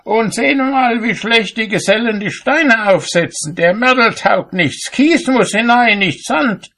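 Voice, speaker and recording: Storybook master cobbler: hot-tempered, high-pitched, loud indignant scolding, nothing ever good enough. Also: male voice, lively intonation, a little slow, exaggerated voice. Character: irascible, fault-finding master cobbler, grumbling at everyone.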